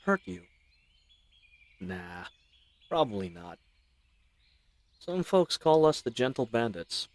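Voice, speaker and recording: A man's voice: medium pitch, sing-song, slow pace, long pauses, a little mellow. A man playing a chatty, roguish bandit leader in an audio roleplay, with folksy slang and teasing mock courtesy. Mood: casual, reassuring. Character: roguish, chatty, friendly male bandit leader, mockingly polite. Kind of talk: scripted audio roleplay addressed to the listener.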